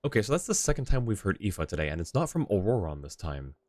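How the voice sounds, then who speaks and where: A man's voice: on the low side, very animated, fast, darkish tone, dry recording, clean studio sound. A man livestreaming a game, giving casual commentary as he plays. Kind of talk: casual game stream commentary, reading dialogue along.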